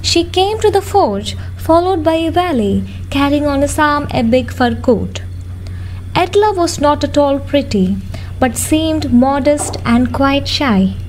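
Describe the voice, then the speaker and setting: A woman's voice: high-pitched, lively intonation, unhurried, a little mellow, slight background noise, low-quality recording. A young woman, a student narrator, reading scripted story narration for a radio drama. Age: young adult.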